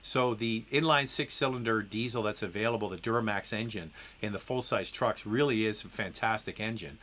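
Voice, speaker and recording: Guy with a head cold doing a live q&a, stuffed up, bright tense voice, lots of ums. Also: fairly low pitch, a little fast, slight background noise. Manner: conversational, with frequent ums and restarts; reads viewer messages aloud. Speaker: host answering viewer questions. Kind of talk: live stream Q&A.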